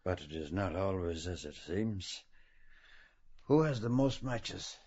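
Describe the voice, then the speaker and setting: A man reading an audiobook, voicing a quiet middle-aged gentleman who speaks gently and murmuringly. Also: low voice, expressive, slow pace, slightly rough, heavily acted. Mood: musing. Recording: dull lo-fi recording.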